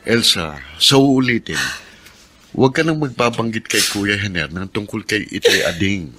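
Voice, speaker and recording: An actor playing a calm, sensible Filipino husband in a radio drama, giving advice in a measured way. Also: male voice, on the low side. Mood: calm, advising. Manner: measured, advising.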